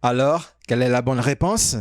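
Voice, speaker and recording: A man teaching French, reading quiz sentences and answer options aloud slowly and carefully. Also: mid-range voice, dry recording, studio quality. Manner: reads sentences slowly, stretched out, pauses on options. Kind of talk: slow, clearly spoken quiz reading.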